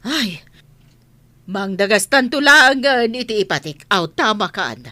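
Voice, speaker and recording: A woman's voice: medium pitch, animated, measured pace, pushed and bright, no echo. A woman playing an exasperated, reproachful family matriarch in a radio drama, with an Ilocano accent. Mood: exasperated, scolding. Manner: reproachful, emphatic.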